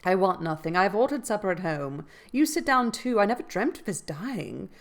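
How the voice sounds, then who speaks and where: A woman voicing a polite gentleman visitor who speaks formally and asks questions. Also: medium pitch, lively intonation, moderate pace, close to an everyday voice. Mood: inquiring.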